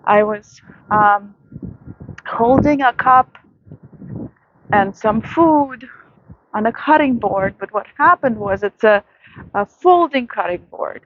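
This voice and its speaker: A woman vlogger giving a soft, reflective late-night monologue outdoors in a city, with many pauses and fillers. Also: on the high side, animated, phone-quality sound, a little hiss or hum.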